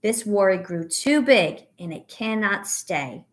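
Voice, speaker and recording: Woman teacher for a children's read-aloud; unhurried, animated intonation, speaking straight to young listeners with clear encouragement. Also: mid-range voice. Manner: instructive, encouraging, addressing young children directly. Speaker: teacher reading a picture book aloud. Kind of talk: children's read-aloud with guided breathing exercise.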